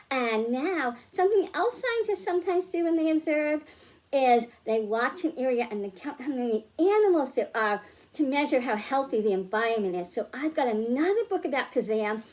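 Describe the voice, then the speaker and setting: A woman hosting a children's storytime, speaking in simple, encouraging terms to young kids, with sing-song emphasis when reading and explaining. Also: sits high. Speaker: children's librarian and storytime host. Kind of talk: children's storytime and craft demonstration.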